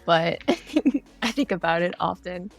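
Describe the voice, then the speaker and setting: Female indie developer guest, a little low in pitch, informal and playful, faint music behind. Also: a little slow, gravelly. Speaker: game developer, podcast guest. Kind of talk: casual interview chat.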